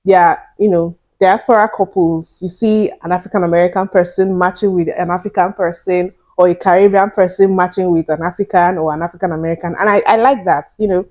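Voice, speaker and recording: A young woman vlogger giving casual, animated commentary straight to her viewers, often incredulous. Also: on the low side. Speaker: reaction vlogger.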